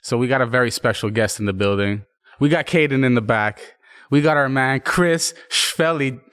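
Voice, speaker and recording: A man's voice: mid-range voice, normal speed, a bit bright, clear, resonant tone, dry recording, clean studio sound. A man hosting a podcast, opening the show with upbeat, hype-style introductions. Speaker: podcast host. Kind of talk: casual podcast chat.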